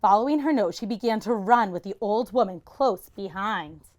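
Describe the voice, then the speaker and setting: A woman's voice: medium pitch, expressive, moderate pace, a little mellow. A woman reading a children's picture book aloud like a teacher, expressively and with dramatic emphasis.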